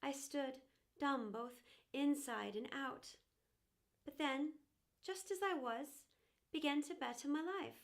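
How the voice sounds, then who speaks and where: Proud lil porcelain teapot lady reading it for kids, high voice, grand and emphatic, bit wistful. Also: slow. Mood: wounded. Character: proud, self-important porcelain teapot, later humbled and wistful. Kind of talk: children's storybook character narration.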